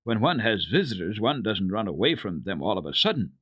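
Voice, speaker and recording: Give the storybook grandfather an earnest, decided old voice acted by a man reader. He speaks with emphasis and wide swings of pitch. The sound is close and dry. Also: very animated, breathy edge, dry recording, dull audio. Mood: reproving. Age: older. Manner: slow, emphatic, decided delivery. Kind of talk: dramatic reading of children's classic.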